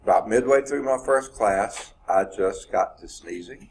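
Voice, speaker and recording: A man's voice: fairly low pitch, measured pace, slight background noise. A man teaching a math class in a classroom, sounding stuffed up from sneezing, talking conversationally and often checking in with "okay". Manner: conversational, repeats points, says okay often. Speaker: math instructor. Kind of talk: classroom lecture.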